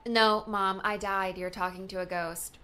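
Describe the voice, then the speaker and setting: A woman's voice: mid-range voice, steady tone, a little slow, pushed and bright, smooth. A woman playing a wry, sarcastic young daughter in an audiobook drama, with a dry delivery. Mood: playfully sarcastic.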